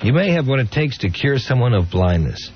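A man's voice: mid-range voice, very animated, brisk, slight background noise. A man who works as a radio announcer, reading ad copy. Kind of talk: radio commercial read breaking into ranting. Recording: dull lo-fi radio outtakes, music behind.

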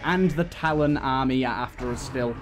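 A man's voice: mid-range voice, moderate pace, slight background noise. A man hosting a casual let's play, talking to the game's characters and repeating his phrases as he goes. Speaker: gaming host.

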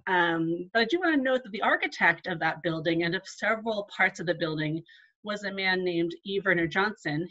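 A woman's voice: lowish voice, a bit bright, dull audio. A woman archivist giving a live online talk, conversational with frequent ums and asides and no regional accent. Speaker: museum archivist.

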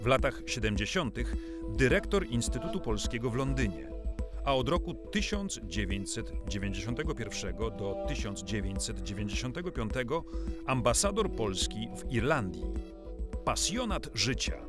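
A man reading a scripted biographical introduction as a television voice-over narrator. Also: mid-range voice. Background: instrumental music.

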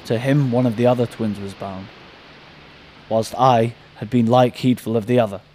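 A man reciting Shakespeare verse on stage in a measured, steady way. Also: mid-range voice, slight background noise, poor audio. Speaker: stage actor.

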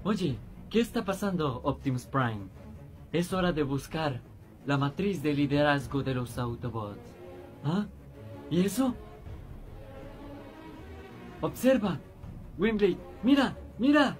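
A man voicing a curious, upbeat male robot scientist with a softened Costa Rican accent. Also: fairly high pitch, slow pace, long pauses, faint music behind. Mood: excited. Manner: excited, rising exclamations.

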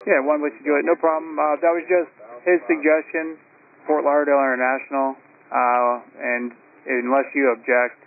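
A man's voice: fairly high pitch, little pitch movement, moderate pace. A man working as an air traffic controller, talking informally and accommodatingly to pilots over aviation radio. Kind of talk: radio communication. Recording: narrow tinny aviation radio transmission.

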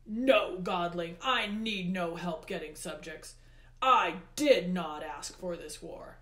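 A woman voicing a furious, imperious male god of the underworld for a storybook, with booming, emphatic, drawn-out words. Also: fairly bright tone. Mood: exasperated ranting. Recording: roomy, echoey indoor sound.

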